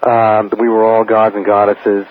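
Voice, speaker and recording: A man phoning a radio show, recounting something in a measured, halting way. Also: on the low side, monotone, no room sound. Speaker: phone-in caller. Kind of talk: conversational recounting on a radio call. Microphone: telephone. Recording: narrow phone line.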